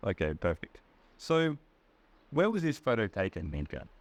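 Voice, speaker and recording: An Australian man speaks with a low voice that rises and falls a lot. He asks questions slowly and clearly for English learners. He is patient and encouraging, often repeating his guest's answers back. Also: big pitch swings, no echo. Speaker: English teacher and interviewer. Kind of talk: slow, clear interview for learners.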